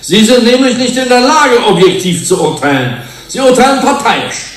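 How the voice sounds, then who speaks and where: An actor playing a confrontational research investigator in a radio play, pointed and insistent. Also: male voice, very high-pitched, animated, moderate pace, fairly bright tone, recorded from a distance. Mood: pointed, accusing. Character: Brian O'Sullivan, confrontational, probing male research investigator. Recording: echoey room, off the mic.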